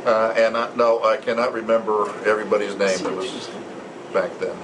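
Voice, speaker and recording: An older man reminiscing casually, a little halting as he searches for words, recorded in a noisy restaurant. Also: lowish voice, monotone. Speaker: club member. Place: restaurant luncheon gathering. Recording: noisy restaurant, loud background chatter.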